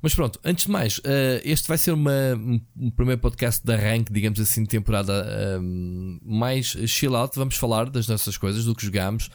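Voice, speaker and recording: A hoarse man with a European Portuguese accent, co-hosting a podcast in a relaxed, rambling chat full of fillers and restarts. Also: mid-range voice, moderate pace, few pauses, no room sound, studio quality. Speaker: podcast co-host. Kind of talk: casual chat about video games.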